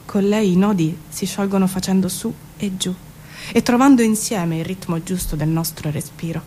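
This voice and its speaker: A woman giving an expressive, measured theatrical spoken-word reading with dramatic pauses. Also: medium pitch, slight background noise. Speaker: performer reading her own poetic prose. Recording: music behind the voice. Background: music.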